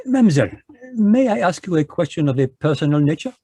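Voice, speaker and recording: A man voicing a fussy, precise Belgian detective, speaking in a measured, lecturing way in a live online radio drama. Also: a little high, very animated, warm tone, husky, dry recording, heavily acted. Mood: courteous.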